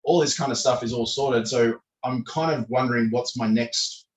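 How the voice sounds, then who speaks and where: A man, his voice croaky as he keeps clearing his throat. He talks in loose, meandering answers full of fillers and restarts. He sits at some distance from the microphone, and the room around him rings. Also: mid-range voice, fairly even intonation, normal speed. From Australia. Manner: rambling, hesitant, many fillers and restarts. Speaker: podcast guest. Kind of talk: casual interview answers. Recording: echoey room, distant from the mic.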